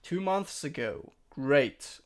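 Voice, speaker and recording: A young man who is a bit sick, walking through a software tutorial in a rambling, casual way with many fillers and restarts. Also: a little high, expressive, takes it slowly. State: sick, throat-clearing voice. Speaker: tutorial streamer. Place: home room.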